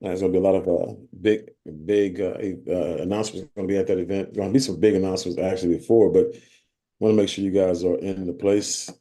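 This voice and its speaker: Male host of a team call, low and mellow, rambling, filler-heavy. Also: flat delivery, fast. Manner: rambling, many fillers and restarts. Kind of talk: casual motivational team call.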